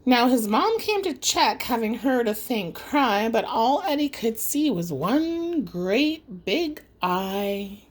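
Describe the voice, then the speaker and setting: A woman's voice: on the high side, sing-song, slow, few pauses, slight background noise. A woman reading a rhyming children's story aloud as an audiobook, in a dramatic, singsong storytelling manner. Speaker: audiobook reader.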